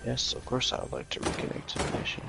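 A man's voice: fast, loud music behind the voice. A man who plays video games, chatting casually and muttering sardonic asides. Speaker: video game player.

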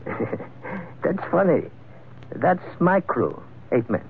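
An actor playing a menacing, swaggering pirate captain with a Spanish accent, in 1940s radio style on an old recording. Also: male voice, fairly high pitch, very animated, very slow, gravelly, breathy edge, narrow, tinny audio, exaggerated voice. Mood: amused, boastful.

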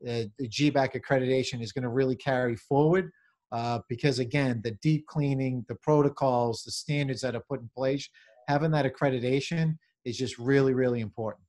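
Middle aged guy, manager type, medium pitch, stop-start business talk on a video call from his office. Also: fairly even intonation, a little mellow. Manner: halting, corporate phrasing with repeated words. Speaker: convention center general manager, interview guest. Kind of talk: interview answers on a video call. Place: small office, indoors. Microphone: computer or webcam on video call.

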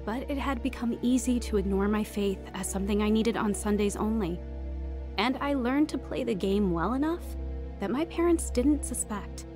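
A woman's voice: fairly high pitch, expressive, moderate pace, music in the background, close to an everyday voice. An actress playing a troubled young woman recalling her secretive teen years in a scripted audio drama. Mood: reflective. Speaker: narrator and lead actress.

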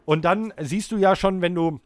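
A man co-hosts a podcast in relaxed, informal conversation. His voice sits a bit high for a man, and it comes through close and dry on a studio microphone. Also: moderate pace, dry recording. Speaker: podcast host and band musician. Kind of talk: casual podcast banter.

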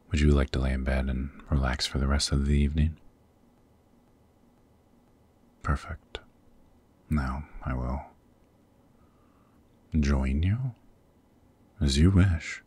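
A man voicing a possessive, protective demon king in a husky, very deep voice, formal and intimate, in an ASMR roleplay. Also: a little mellow, full character voice. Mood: tender. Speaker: voice actor.

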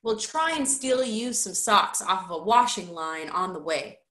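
A woman voicing a calm, kindly, sensible boy in a storybook, speaking in a reasonable way. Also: mid-range voice, unhurried, reverberant. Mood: irritated, practical. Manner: quiet, reasonable.

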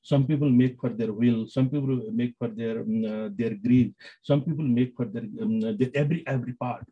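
A man with a Nepali accent answering interview questions in halting English, full of fillers and restarts. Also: mid-range voice, steady tone, slightly rough. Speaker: business executive guest. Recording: dull lo-fi sound.

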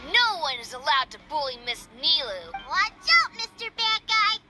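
Tiny fairy sidekick, extremely high squeaky voice, wildly swooping, childish, loyal, game character. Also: female voice, sing-song, moderate pace, over loud music. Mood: pouty, protective. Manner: refers to self in third person, childish.

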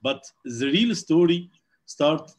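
A man from Egypt with an Egyptian Arabic accent, Arabic his first language, speaking halting English on a video call with constant uh fillers. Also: on the high side, animated, husky. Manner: slow and halting, heavy uh fillers. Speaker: calligrapher, panel guest. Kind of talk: casual online panel talk.

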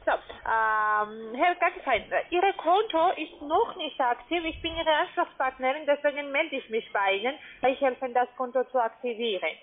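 High-voiced woman on a tinny phone. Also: normal speed, few pauses. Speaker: call-centre caller pitching trading accounts. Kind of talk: phone sales pitch. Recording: tinny narrowband phone line.

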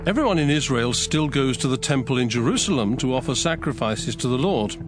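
A man's voice: medium pitch, animated, fairly quick, music in the background, close to an everyday voice. An actor playing an anxious, scheming king in a theatrical, emphatic scripture audio drama. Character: anxious, scheming king who commands and pleads.

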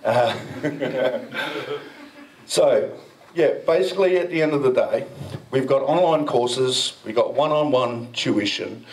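A man's voice: medium pitch, slow pace, poor audio. A middle-aged man giving a joking, self-mocking talk at a meetup, speaking into a handheld mic in a dim event room. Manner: cheeky, self-mocking, banters with the audience. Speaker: presenter at a blockchain meetup.

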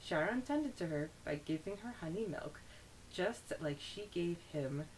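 A woman's voice: sits low, poor audio. A woman co-hosting a podcast, summarizing a story chapter and then discussing it in casual chat. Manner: summarizes plot steadily, then chats animatedly. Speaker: podcast co-host. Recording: faint hiss.

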